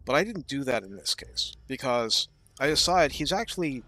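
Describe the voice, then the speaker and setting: A man giving casual gameplay commentary, explaining his strategy thoughtfully and often pausing with fillers. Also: mid-range voice, big pitch swings, bright, forceful tone.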